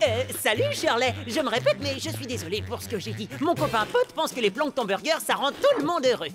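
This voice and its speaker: Whiny cartoon kid, high voice, swings all over, loud music behind. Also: female voice, big pitch swings, fairly quick. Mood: sheepish. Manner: exaggerated, whiny, stammering when flustered. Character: pleading, excitable young amoeba schoolboy, cheeky and whiny. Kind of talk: animated French cartoon dub.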